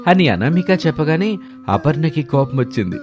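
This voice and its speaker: A man's voice: fairly high pitch, sing-song, moderate pace, darkish tone, soft background music. A man reading scripted narration for a moral story as the storyteller.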